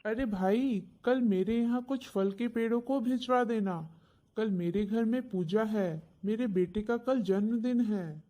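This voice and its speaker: A woman's voice: a little high, measured pace, mellow, slight background noise, pitched below the performer's own voice, lightly acted. A woman playing a villager in a children's story, making requests in a polite manner. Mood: polite, requesting. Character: villagers politely asking trees for help.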